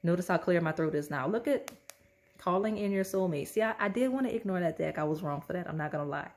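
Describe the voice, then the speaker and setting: Woman doing a chatty tarot reading, kinda hoarse, slight rasp, lowish voice. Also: lively intonation, fast, a little mellow, a little hiss or hum. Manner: chatty, repeats "okay?" often. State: hoarse, losing her voice. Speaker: tarot reader. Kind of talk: casual pick-a-card tarot reading.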